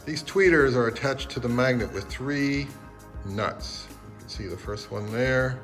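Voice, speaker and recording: A man hosting a video, explaining things casually in an unhurried, self-deprecating way. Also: medium pitch, a bit bright, soft background music. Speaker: video host.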